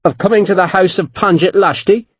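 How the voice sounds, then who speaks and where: A man doing a breezy Devonshire sea captain, pitched high. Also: fairly quick, husky, no room sound, dull audio. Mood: courteous. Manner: courteous and breezy. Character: friendly, courteous English naval captain, man.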